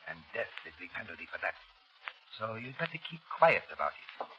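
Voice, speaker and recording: An actor playing a callous, domineering scientist doctor in a 1930s radio drama. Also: male voice, lowish voice, big pitch swings, fairly quick. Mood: cold. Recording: dull, noisy 1930s radio broadcast.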